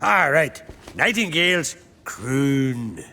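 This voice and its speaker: An actor playing a simple-minded guard in a video game, reciting in a halting singsong. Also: male voice, mid-range voice, very animated. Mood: earnest, reciting. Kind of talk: video game character acting. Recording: hall reverb, faint noise.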